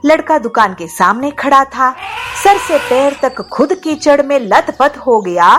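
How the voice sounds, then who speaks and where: A woman reads a scripted moral tale for children as its narrator. Her voice is lively, with wide swings in pitch, and faint music plays underneath. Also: unhurried.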